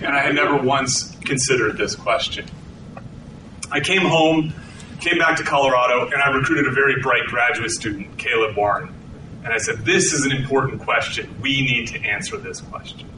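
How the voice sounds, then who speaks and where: A middle-aged man giving a conversational lecture to an audience, with frequent restarts. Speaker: researcher giving a talk. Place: hall with an audience. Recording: echoey hall, distant mic, rumbling noise.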